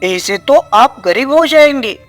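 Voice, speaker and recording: A man's voice: very high voice, lively intonation, a little slow, fairly bright tone, soft background music, exaggerated voice. A man playing a dutiful, compassionate royal minister in a moral story. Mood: deferential. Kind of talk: dramatised Hindi moral story.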